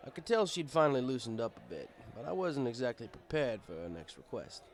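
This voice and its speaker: A man's voice: mid-range voice, very animated, fairly quick. A man reading a first-person story as scripted narration, in a wry, conversational manner. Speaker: story reader.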